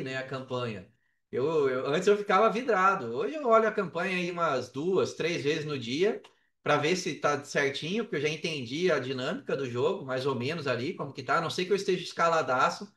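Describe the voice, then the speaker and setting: Brazilian guy on a podcast, slangy and animated, kinda high voice, roomy and a bit far from the mic. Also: a little fast. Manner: slangy, animated storytelling with acted-out quotes. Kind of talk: casual podcast chat. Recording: roomy echo, distant from mic.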